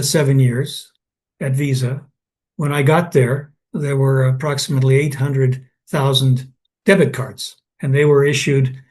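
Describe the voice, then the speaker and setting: A man's voice: medium pitch, darkish tone. An older man from Canada in an oral history interview, telling anecdotes with many restarts and fillers.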